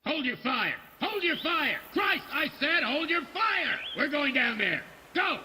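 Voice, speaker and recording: An actor voicing an angry small-town cop, barking orders in a frantic, shouting manner. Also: male voice, very high voice, sing-song, unhurried, long reverb tail, noisy background, full character voice. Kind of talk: game cutscene voice acting.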